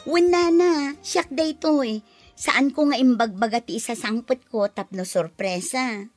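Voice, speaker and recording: A woman's voice: high voice, measured pace, dry recording, soft background music. An actress playing a cheerful young woman with an Ilocano accent who laughs often in a radio drama.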